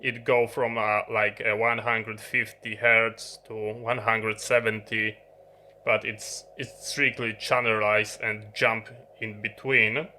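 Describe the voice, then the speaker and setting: A man who is a non-native English speaker, giving a halting, unscripted hands-on explanation of a piece of gear as a video host. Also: on the low side, level tone, pushed and bright, slight background noise. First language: not English. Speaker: host of an electronics review channel. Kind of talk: unscripted hands-on product demonstration. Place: indoor electronics workbench.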